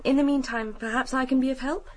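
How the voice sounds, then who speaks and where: An actress playing a composed, genteel, guarded British widow in a radio drama. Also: female voice, high-pitched, steady tone, fast. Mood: composed, helpful.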